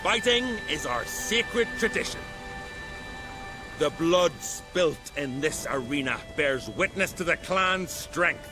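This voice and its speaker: Animated, proud island arena master. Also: male voice, a little high, slow. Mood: solemn, proud. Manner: ceremonial, archaic turns of phrase. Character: proud island arena master, solemn keeper of fighting tradition. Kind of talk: video game dialogue. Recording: music behind the voice. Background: game music.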